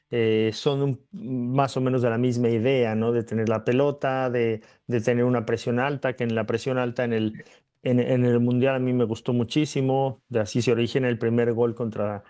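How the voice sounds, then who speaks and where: A man's voice: medium pitch, mellow. A man, a former player now pundit, giving thoughtful football analysis with frequent fillers and tag questions. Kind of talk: analysis by remote link.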